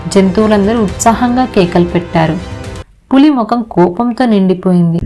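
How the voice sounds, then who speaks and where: Female narrator, scripted children's storytelling, mid-pitched voice, light background music. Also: normal speed, warm tone.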